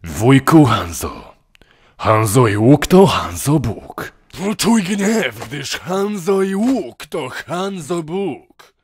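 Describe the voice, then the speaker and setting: A man voicing a solemn, wrathful archer warrior in a husky, theatrical and grandiose manner, as a game character parody. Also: fairly high pitch, big pitch swings, extremely slow, pitched above the performer's own voice, full character voice. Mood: grand, wrathful.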